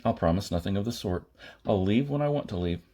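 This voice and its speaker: A man's voice: on the low side, lively intonation, fairly quick, dark tone. A man voicing a stubborn, questioning space traveler in an audiobook, with a blunt, insistent manner. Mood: defiant.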